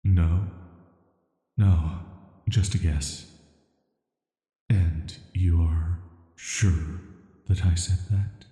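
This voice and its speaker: Man voicing a deep, dark, echoing cursed villain. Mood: probing, uneasy. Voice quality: soft, hushed, atmospheric. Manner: flat, hesitant, slow, measured delivery. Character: banished cursed male villain, soft, flirty, secretly dangerous dream visitor. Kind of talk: scripted roleplay sleep-aid audio drama. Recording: echoing, long reverb.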